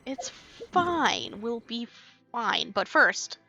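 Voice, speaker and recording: A woman streams a game with a bright, fairly high voice. She comments casually and playfully, talking back to the characters while music plays softly behind her. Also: sing-song, drawn-out words, creaky. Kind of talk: casual live gameplay commentary.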